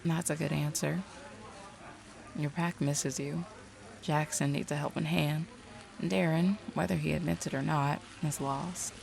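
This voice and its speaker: A woman's voice: low voice, steady tone, unhurried, vocal fry, a little mellow, slight background noise, full character voice. A woman playing a confident, streetwise supernatural detective in an audio roleplay, drawling folksy slang in a teasing way. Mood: blunt, knowing.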